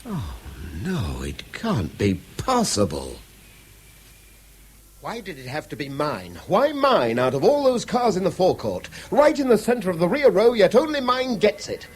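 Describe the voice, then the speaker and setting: An actor playing a proud, articulate, middle-aged British schoolmaster with a testy streak in a 1970s radio drama. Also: male voice, high-pitched, huge pitch swings, measured pace, slightly rough, exaggerated voice. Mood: indignant. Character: proud, irascible educated schoolmaster, an older man.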